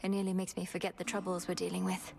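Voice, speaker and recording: A woman voicing a solemn, determined young witch goddess in a video game, with formal, measured, archaic phrasing. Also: fairly low pitch, completely flat delivery, creaky. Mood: solemn, resolute. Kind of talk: scripted video game voice acting. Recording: music behind the voice.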